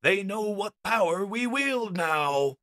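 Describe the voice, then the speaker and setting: A man's voice: high-pitched, expressive, takes it slowly, pushed and bright, heavily acted. A man playing a cruel robot warlord, haughty and commanding, in a theatrical comic dub. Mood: furious, commanding.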